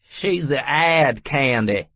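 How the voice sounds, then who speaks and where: A man acts out a teasing, rough-edged brother in an audiobook, skeptical and folksy. The voice is lifted above the narration, slow and dry, with a slightly dull sound. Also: medium pitch, animated, dry recording, voice pushed up. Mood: mocking. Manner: folksy, ungrammatical, needling. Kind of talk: audiobook character dialogue.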